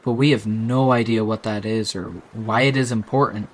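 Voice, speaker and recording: A man's voice: fairly low pitch, steady tone, moderate pace, natural voice. A man playing an earnest young privateer in a fantasy audio drama, speaking plainly and straightforwardly. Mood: uncertain.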